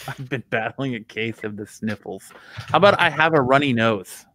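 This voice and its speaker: A man's voice: mid-range voice, unhurried, pushed and bright, a little hiss or hum, poor audio. A man who drops in on a podcast to tease a co-host, talking in mocking, playful banter. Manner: teasing, mocking. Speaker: podcast regular. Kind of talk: casual banter.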